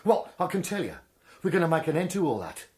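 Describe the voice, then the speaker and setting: An actor playing a fiery, scornful socialist soldier with a British accent, declaiming sarcastically in a radio drama. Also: male voice, a little high, big pitch swings, fast, darkish tone. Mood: scornful, impassioned. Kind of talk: BBC radio drama speech.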